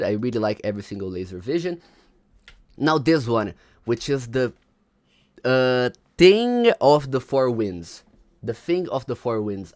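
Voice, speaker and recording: Man, young adult, livestream host, animated, very lively pitch. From Brazil. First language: Portuguese. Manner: animated, slangy, chats with viewers. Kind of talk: casual livestream commentary.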